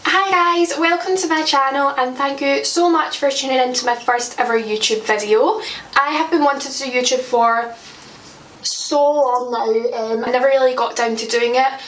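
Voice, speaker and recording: Chatty high-voiced Scottish woman vlogging. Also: moderate pace, a bit bright, echoey room, far from the mic, slight background noise, low-quality recording. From Scotland. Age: 20s. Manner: chatty, self-deprecating, laughs at her own slips. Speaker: makeup vlogger. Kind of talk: casual chat to camera. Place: at home, indoors.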